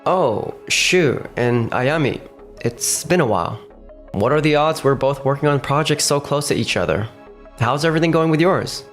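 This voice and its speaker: A man's voice: a little high, lively intonation, a bit bright. Man acting a composed young company boss. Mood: friendly. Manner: measured, composed. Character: level-headed, cautious young male company boss and narrator. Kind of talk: manga dub dialogue and first-person narration. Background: faint background music.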